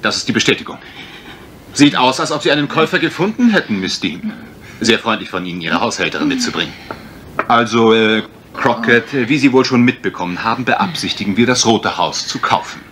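An actor playing a brisk, wry husband turned amateur detective in a German radio mystery, polite, dry and assured. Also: male voice, mid-range voice, animated, a bit bright, natural voice. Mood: businesslike, courteous. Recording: faint noise, retro radio play.

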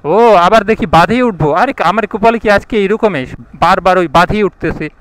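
A man's voice: sits high, moderate pace, a bit bright, a little hiss or hum, poor audio. A young man, narrating a casual motovlog while riding outdoors, thinking aloud and asking people for directions. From India. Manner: chatty, thinks aloud, asks passersby for directions. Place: outdoors on village roads, riding a motorbike. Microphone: action camera mic.

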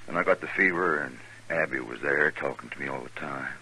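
Creaky, low, weary western ex-convict. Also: male voice, pushed and bright, slight background noise, full character voice, low-quality recording. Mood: weary, contrite. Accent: American. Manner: halting, plain rustic speech. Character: weary, repentant ex-convict in the Old West. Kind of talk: 1950s radio western acting. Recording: 1950s radio recording.

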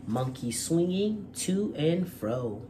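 A man's voice: a little high, big pitch swings, slow, noisy background. A man presenting and reading aloud as host of a children's storytime, with a courteous manner. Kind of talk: storytime read-aloud and channel chat.

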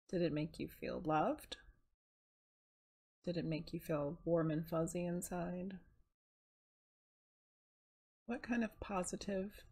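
Slow, breathy woman guiding meditation. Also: fairly low pitch. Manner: calm, soothing, unhurried with pauses. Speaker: meditation guide. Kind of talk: guided meditation narration.

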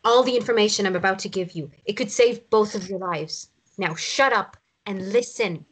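A woman's voice: mid-range voice, moderate pace, natural voice, low-quality recording. An actress playing a stern woman captive who takes command like a military leader, giving firm, controlled orders in a drama read-through. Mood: urgent, commanding. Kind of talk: script read-through acting.

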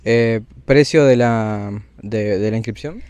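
A man's voice: fairly low pitch, slow pace, a little mellow, clear, resonant tone, no room sound. A man working as a street interviewer who asks short prompting questions.

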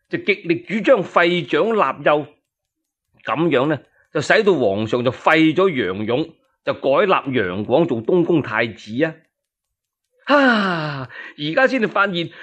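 A man tells a historical story in colloquial Cantonese. His voice is somewhat high for a man, and he narrates theatrically. Rhetorical questions and asides draw the listener in. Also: a bit bright, muffled recording.

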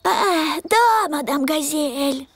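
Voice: high voice, lively intonation, extremely slow, fairly bright tone, dry recording, exaggerated voice. A performer voicing a sleepy, curious little pony boy in a children's cartoon, with simple childlike delivery. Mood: sheepish.

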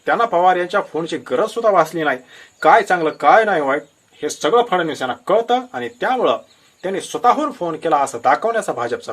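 Animated, high-pitched Maharashtrian man commentating. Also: breathy edge. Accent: Marathi, from Maharashtra. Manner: explanatory, emphatic storytelling with rhetorical questions. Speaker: political news commentator. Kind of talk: unscripted political commentary addressed to viewers.

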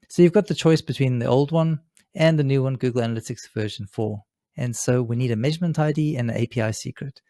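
Mellow, dry-sounding man presenting a tutorial. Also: mid-range voice, gravelly, dry recording. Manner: informal, unscripted explaining. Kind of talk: casual screen-share tutorial, step by step. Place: indoors, seated at a computer.

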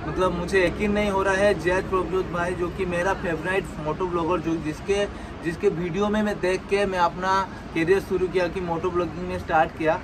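A man's voice: sits high, steady tone, fairly quick, crowd noise, background noise. A young man in his twenties talking earnestly to a phone camera outside a house, gesturing as he speaks. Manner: earnest, heartfelt, gestures a lot. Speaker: moto vlogger. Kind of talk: casual vlog talk to camera. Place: outdoors by a house doorway.

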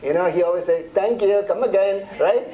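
A man's voice: sits high, a little fast, mellow. A middle-aged man from India with an Indian accent, presenting a church seminar in a chatty, conversational way with frequent restarts.

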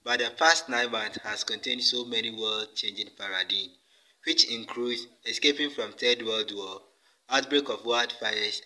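Young man from Ghana, lowish, very bright, formal. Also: low-quality recording. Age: young adult. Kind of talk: recorded video message.

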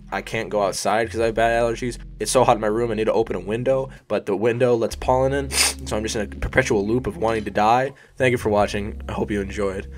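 A young man with a sniffly, allergy-stuffed voice, casually talking through a design tutorial as a voice-over, rambling, self-deprecating and swearing now and then. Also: fairly low pitch. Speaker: YouTube design tutorial host. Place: hot bedroom.

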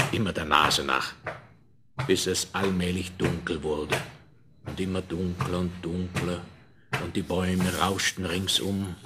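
Low-voiced male storyteller on crackly vinyl. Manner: expressive, measured, addresses the listener. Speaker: narrator. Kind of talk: scripted fairy-tale storytelling. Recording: crackly 1970s vinyl record transfer.

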